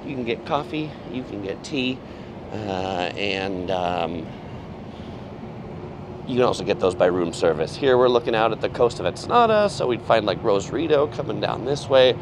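A man in his forties giving a casual walking tour of a cruise ship, talking in a relaxed way with frequent fillers as he lists what he sees. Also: on the low side, moderate pace, background noise. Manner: relaxed, with frequent ums and listing items. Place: aboard a cruise ship, indoor and outdoor decks. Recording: clear music under the voice.

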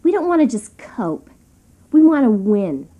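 A woman's voice: a little high, big pitch swings, a little slow, narrow, tinny audio. A woman playing a seminar leader who coaches a group in a radio satire. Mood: encouraging coaching. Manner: echoing answers, coaching.

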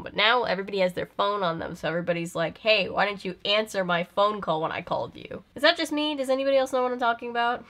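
A woman's voice: mid-range voice, fairly bright tone. A young woman giving casual, comedic reaction commentary, full of sarcastic asides and mock exasperation. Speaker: video host reacting to an old film.

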